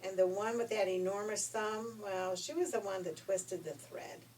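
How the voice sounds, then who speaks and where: A woman reading a children's story in an expressive, folksy way. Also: medium pitch, normal speed. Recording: noisy, distant room recording.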